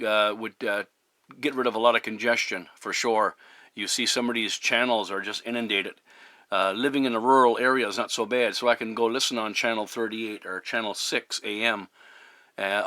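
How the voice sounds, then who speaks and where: Bright, low-voiced man on the radio. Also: no echo. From Newfoundland, Canada. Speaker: CB radio operator. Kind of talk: casual radio conversation.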